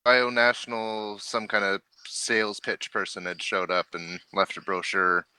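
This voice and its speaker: A man's voice: on the low side, little pitch movement, unhurried, bright, forceful tone, clean tone, no room sound, low-quality recording. A man running a tabletop game, chatting casually with his players as game master.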